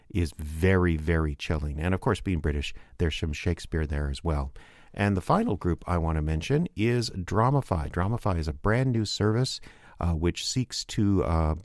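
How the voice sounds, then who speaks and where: A man's voice: low-pitched, a little mellow, no echo, clean studio sound. A man hosting a radio drama show, casually rambling through promotional plugs with frequent fillers. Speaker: show producer and host.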